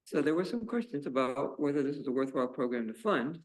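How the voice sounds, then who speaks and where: A man chairing a town board meeting, speaking in a halting way with uhs and restarts. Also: medium pitch, lively intonation, low-quality recording.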